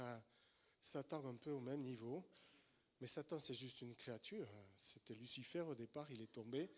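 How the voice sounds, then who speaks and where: A man giving a short word and prayer in church, earnest, with stumbling starts. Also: medium pitch. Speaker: church member giving a blessing.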